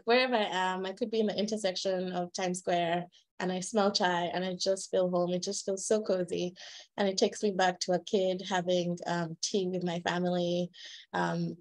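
A woman from Uganda sharing a warm, reflective memory on a video call. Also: fairly low pitch. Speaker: workshop participant. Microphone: laptop webcam on a video call.

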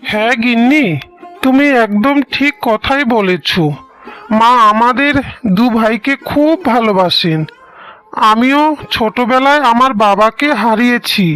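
A voice actor playing a trusting young husband. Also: male voice, very high voice, measured pace, pushed and bright, clear, resonant tone, faint music behind, full character voice, poor audio. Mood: earnest. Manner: reassuring, earnest. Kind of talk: dubbed cartoon voice acting.

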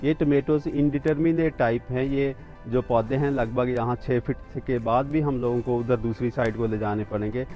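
Middle-aged man, mid pitch, outdoor garden tour, steady explaining, faint music underneath. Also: moderate pace, lo-fi sound. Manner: pointing things out plainly and steadily, with small restarts. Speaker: agricultural expert giving a garden tour. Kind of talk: walking demonstration, explaining to camera.

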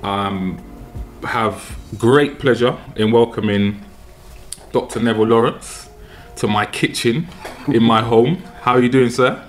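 A man in his forties from London, hosting a casual podcast interview from his home kitchen on a desk mic, halting with many ums and repeated words. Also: mid-range voice. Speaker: podcast host. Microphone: desk mic on a boom arm.

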